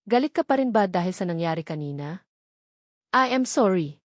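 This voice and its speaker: A woman's voice: on the low side, expressive, normal speed, dry recording, studio quality, close to an everyday voice. A woman voicing a serious, apologetic husband in a romance audiobook. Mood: apologetic, earnest.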